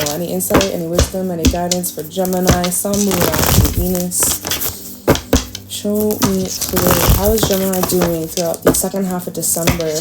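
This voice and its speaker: A woman with a congested nose giving a casual tarot reading, gently encouraging and asking her viewers question after question. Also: fairly low pitch, very noisy. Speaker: tarot reader.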